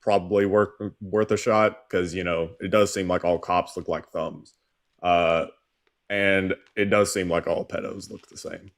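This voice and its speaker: Male political stream co-host, deep register, condescending and dry, halting delivery in an argumentative exchange. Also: steady tone, no echo. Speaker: live show co-host. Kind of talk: political call-in debate.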